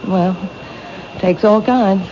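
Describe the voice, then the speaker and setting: A reserved bookshop owner. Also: female voice, medium pitch, very slow, dark tone. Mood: polite, reserved. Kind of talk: 1970s radio drama acting. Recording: dull old radio broadcast with hiss.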